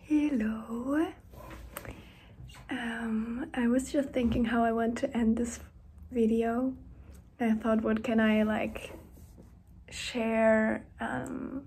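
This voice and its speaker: A young woman, a university student, talking in a casual diary-style vlog. She speaks softly and hesitantly, often dropping to a gentle, breathy whisper. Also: on the high side, little pitch movement, drawn-out words, pauses often, slight background noise. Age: young adult.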